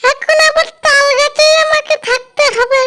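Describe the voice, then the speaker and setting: A man's voice: extremely high pitch, little pitch movement, normal speed, sharp tone. A man voicing a greedy, sly, grumbling male fish-eating ghost in a cartoon. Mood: sulky scheming. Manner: grumbling, self-pitying.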